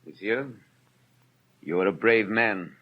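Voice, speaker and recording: An actor playing a stern, unbending police inspector with a formal, commanding manner in a 1930s-style radio drama. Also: male voice, lowish voice, slow. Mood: grave, measured. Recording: muffled 1930s radio broadcast, crowd noise.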